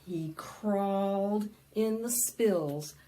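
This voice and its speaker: A woman presenting storytime for young children, singing and reading aloud in an animated, sing-song way that coaxes them to join in. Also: medium pitch, very slow. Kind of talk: interactive preschool storytime, singing and read-aloud.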